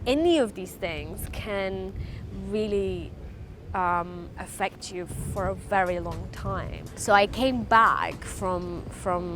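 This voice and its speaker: A woman from Afghanistan whose first language is Farsi, giving earnest, halting interview answers about her life. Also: fairly low pitch, background noise. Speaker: interviewee, refugee charity founder.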